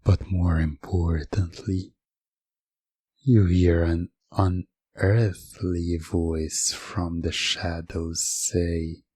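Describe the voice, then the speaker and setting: Male hypnosis storyteller: low, dark-toned, suggestive, with dramatic pauses and a close, dry sound. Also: level tone, breathy edge, no echo. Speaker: hypnosis narrator. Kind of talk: scripted second-person hypnosis ASMR storytelling.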